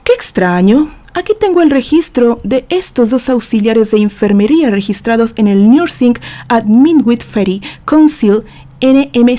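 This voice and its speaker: A woman's voice: fairly high pitch, mellow. A woman voicing a composed male defense lawyer in an audio novel, questioning formally and pointedly. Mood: calm, pointed. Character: calm, incisive male defense lawyer interrogating in court.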